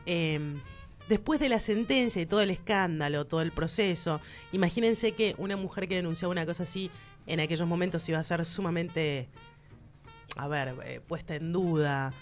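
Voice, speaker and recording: A woman's voice: fairly low pitch, normal speed, faint music behind. A woman with a Rioplatense accent hosting a casual art-history segment, chatty and emphatic, speaking straight to the listener. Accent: Rioplatense Spanish. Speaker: culture segment host.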